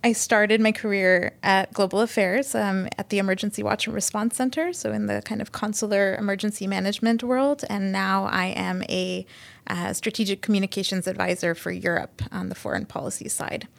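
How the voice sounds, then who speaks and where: A woman's voice: medium pitch, fairly even intonation, fairly quick, gravelly. A woman in her twenties from the Toronto area, speaking appreciatively about her work in an interview. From Greater Toronto Area. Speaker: communications advisor, interview guest. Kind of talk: casual conversational interview.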